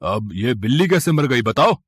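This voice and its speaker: A man acts a scheming uncle in a storybook tale. His voice is husky and lower than the women around him, coaxing and conspiratorial with greedy intent. The recording is dry. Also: sing-song, moderate pace, dry recording. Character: scheming murderous uncle, falsely kind, greedy. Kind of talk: moral-story acting.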